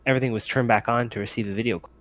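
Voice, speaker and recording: A man running a tabletop game as game master, explaining things casually in halting, hesitant phrases. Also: mid-range voice, fairly even intonation, a crowd in the background. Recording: slightly dull lo-fi microphone.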